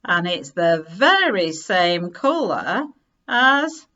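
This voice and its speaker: A woman voicing eager, excited young children in a storybook, exclaiming brightly. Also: fairly low pitch, very slow, muffled recording, full character voice.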